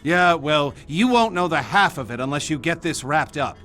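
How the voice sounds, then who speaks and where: An actor playing a proud, pressured senator and family patriarch in a video game, with a formal, authoritative manner. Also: male voice, a little high, expressive, normal speed, a bit bright, faint music behind. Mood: tense, threatening. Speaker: senator character. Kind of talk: dramatic video game dialogue.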